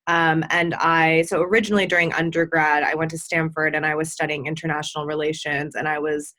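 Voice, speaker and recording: Low-voiced young woman, chatty and relaxed. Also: brisk. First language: Farsi. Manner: chatty, frequent ums and likes. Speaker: guest. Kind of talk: casual interview answers.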